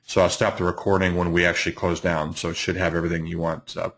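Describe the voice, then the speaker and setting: A middle-aged man, slightly hoarse, hosting a live trading webinar with many fillers and restarts. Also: sits low, flat delivery, fast, fairly bright tone. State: hoarse from allergies. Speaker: trading educator, webinar host.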